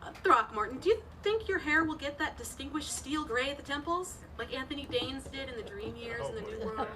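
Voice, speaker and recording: A woman's voice: sits high, brisk, sharp tone, slight background noise. A woman acting a gushing, romantic small-town sweetheart in an old-time radio comedy, swooning and coquettish. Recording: stage radio play, somewhat distant mic.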